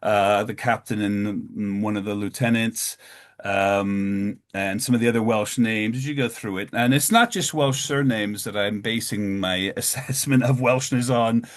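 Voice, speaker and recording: Man, lecturer, history talk, halting delivery, lots of ums, mid pitch. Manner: hesitant, many fillers and restarts; sudden pitch lifts on new points.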